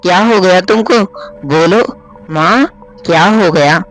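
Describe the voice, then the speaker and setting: A man's voice: high-pitched, measured pace, fairly bright tone, soft background music, poor audio. A man voicing a hot-tempered, defiant schoolgirl in a spoken story, with a brash, quarrelsome manner. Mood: pleading. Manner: brash, quarrelsome, pleading when accused.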